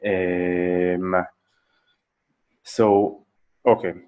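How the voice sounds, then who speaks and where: Low-voiced man, halting. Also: flat delivery, clear, resonant tone, muffled recording. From Israel. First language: Hebrew. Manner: halting, many fillers and restarts, laughs often. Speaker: guest. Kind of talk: casual interview chat.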